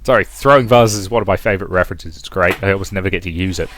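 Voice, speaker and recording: A man's voice: sits low, rapid-fire, a bit bright, slight background noise. A man reading a visual novel aloud as a let's player, mixing dramatic narration with casual commentary. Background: game music.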